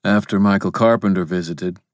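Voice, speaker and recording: A man's voice: low-pitched, fairly even intonation, a little fast, no room sound, studio quality, natural voice. A man voicing a sturdy, plain-spoken young man who reports news matter-of-factly.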